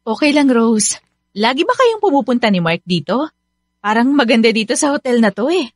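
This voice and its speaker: A woman's voice: on the high side, big pitch swings, fairly quick, no echo, clean studio sound. An actress playing a young, inquisitive, sulky girlfriend in a Filipino radio drama. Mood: probing. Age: young adult.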